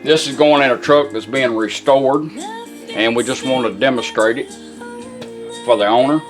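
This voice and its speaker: A man's voice: mid-range voice, normal speed. Folksy guy showing off a gadget hands-on, matter of fact, music going in the background. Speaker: radio restorer demonstrating a product. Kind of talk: informal hands-on product demonstration.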